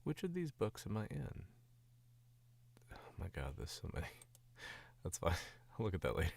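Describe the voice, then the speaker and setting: A man's voice: lowish voice, huge pitch swings, moderate pace, gravelly, a little mellow. A sick man from the Southern US with a Southern accent, chatting casually and rambling on a stream as a voice actor. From Southern United States. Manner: rambling and distracted, chuckling often. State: sick, voice dropped lower.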